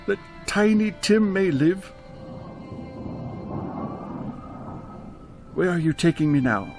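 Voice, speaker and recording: A man's voice: high voice, animated, over music. A man playing a frightened, repentant old miser in a radio drama, stammering and pleading as he draws out his words. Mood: anguished. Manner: stammering, pleading, drawn-out delivery. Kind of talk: theatrical radio drama acting.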